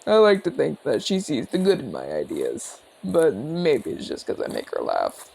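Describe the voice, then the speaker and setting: A man performs a friendly old-soldier orc, a former general with a creaky, gravelly edge. His pitch roams widely as he chats in a measured, courteous, inquisitive way. It is intimate ASMR roleplay, addressed straight to the listener. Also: huge pitch swings, a little mellow, full character voice, rough recording. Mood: earnest, calm. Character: genial retired orc general, male border commander, calm, inquisitive.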